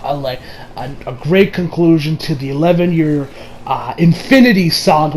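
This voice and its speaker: A man giving a casual, rambling review in an informal, excitable, sweary way. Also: on the high side, slight background noise, poor audio. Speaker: YouTube movie reviewer.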